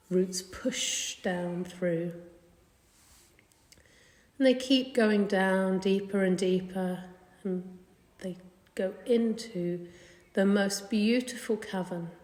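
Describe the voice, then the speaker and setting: A woman leading a guided meditation in a gentle, soothing, unhurried manner. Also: mid-range voice. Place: indoor carpeted room. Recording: echoey room with long reverb.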